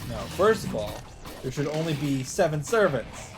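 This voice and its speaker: Let's player, mid-pitched voice, dramatic visual novel read with casual asides, music clearly behind. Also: big pitch swings, moderate pace.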